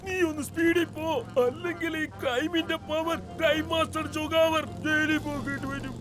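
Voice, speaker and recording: A man's voice: very high-pitched, steady tone, normal speed. A man voicing a boastful, scheming crime-master villain in a children's cartoon, blustering and melodramatic. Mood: alarmed. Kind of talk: exaggerated cartoon voice acting. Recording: loud music under the voice.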